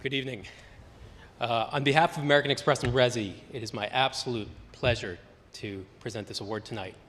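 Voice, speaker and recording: A man's voice: medium pitch, fairly even intonation, normal speed. A man presenting an award on stage in an upbeat, formal way. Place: large event hall. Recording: hall reverb with crowd noise.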